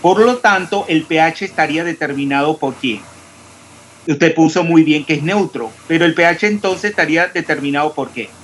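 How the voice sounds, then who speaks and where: A man teaching chemistry in an online class, quizzing his students and correcting their answers. He sounds out every consonant, even the final s, and his voice sits a little high for a man. A faint hiss runs under the recording. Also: normal speed, low-quality recording. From Panama. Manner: stresses clear diction, pronounces every s.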